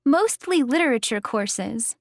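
A woman's voice: fairly high pitch, animated, a little slow, no room sound, clean studio sound. A woman playing a talkative student in a scripted listening-exercise conversation. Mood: candid. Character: talkative female student discussing classes.

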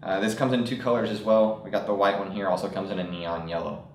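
A man's voice: lowish voice, little pitch movement, quick pace, reverberant, a little hiss or hum. A young man presenting fishing products at a table in a studio, talking casually with frequent fillers. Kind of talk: product demonstration.

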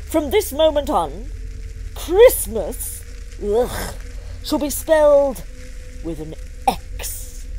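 A pompous ruler of hell, holds forth in a comic radio play. The delivery is unhurried and theatrical, swinging widely in pitch with imperious exasperation. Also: female voice, very animated, dark tone, slight background noise, heavily acted, rough recording. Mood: grandiose, vexed. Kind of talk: comic audio drama acting.

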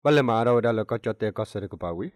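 Male news presenter, lower-pitched voice, close dry studio sound. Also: rapid-fire, no echo. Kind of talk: radio news reading.

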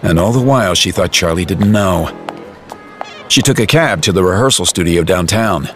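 A man narrates a dramatised story in a lowish, very expressive voice. His delivery is wry and colloquial, with music underneath. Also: moderate pace. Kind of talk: dramatised audiobook narration in present tense.

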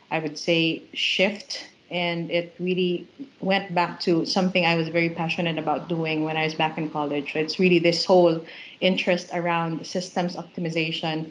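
A woman's voice: lowish voice, slight background noise, rough recording. A woman from the Philippines, a plant manager, talking in a thoughtful way with many fillers on a video call. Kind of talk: casual video-call chat.

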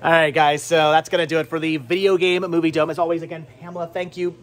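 A man in his thirties hosting a casual, chatty vlog in an excitable way, often repeating his words, recorded on a handheld camera in a shop. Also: fairly high pitch, fast talker. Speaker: vlog host. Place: indoor cluttered video and game shop. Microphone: handheld camera at arm's length.

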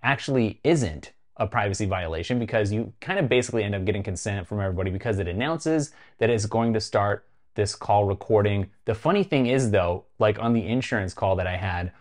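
A North American man presents a tech explainer in a fairly low voice. His delivery is casual and conversational, with emphasis on key phrases, as a host talking to camera would sound. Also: expressive, brisk, few pauses, darkish tone. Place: small home studio room, indoors.

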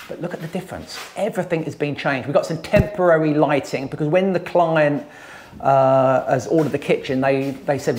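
A man in his thirties giving a casual walkthrough of a house under renovation, briskly listing the work room by room. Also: on the high side, darkish tone, a little hiss or hum. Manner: brisk, matter-of-fact, lists work item by item. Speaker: renovation company site manager giving a tour. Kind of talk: casual walkthrough site tour. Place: empty house under renovation, indoors, bare plastered rooms. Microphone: wide-angle camera carried by someone else, voice at a few meters.

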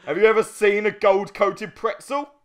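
A man's voice: high-pitched, fairly even intonation, normal speed. A young man in casual gaming banter as a co-host, given to playful, mock-boastful joking. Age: young adult. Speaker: let's play co-host.

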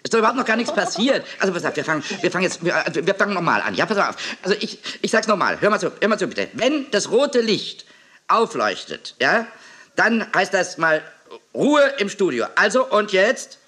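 A bright, sharp, raspy man's voice plays a fussy television director. His delivery swings widely and stammers hectically, repeating itself, in a 1980s children's audio drama. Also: sits high, sing-song, fairly quick, exaggerated voice. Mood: flustered. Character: fussy, flustered male television studio director.

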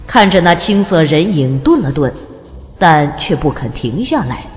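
A woman's voice: low voice, very animated, moderate pace. A woman narrating a fantasy audiobook in a dramatic, scripted storytelling style. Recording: long reverb over music. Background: music.

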